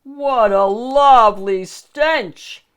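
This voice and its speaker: A woman voicing a rude, swaggering male ogre in a children's storybook, loud, imperious and snapping. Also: fairly high pitch, extremely slow, clear, resonant tone, pitched above the performer's own voice. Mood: delighted. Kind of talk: children's picture book read-aloud.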